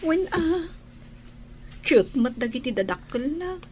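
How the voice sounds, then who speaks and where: Gentle high-voiced young mother. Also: big pitch swings, slow, a little hiss or hum, full character voice. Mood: gentle, reserved. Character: gentle young mother, hurt yet soft-hearted. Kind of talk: Ilocano radio drama acting.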